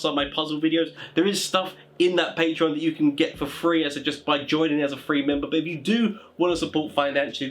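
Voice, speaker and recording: A man with a fairly high-pitched voice talks to his viewers like an online host. His delivery is informal and conversational, rambling along with plenty of filler phrases as he offers advice. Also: fairly bright tone. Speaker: YouTube host giving tabletop game master advice.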